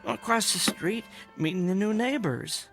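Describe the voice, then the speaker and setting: A man's voice: sits high, a little slow, creaky, music in the background. A man playing a meek, henpecked, geeky engineer husband in a radio drama, hesitant, stammering and placating. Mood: mild, appeasing.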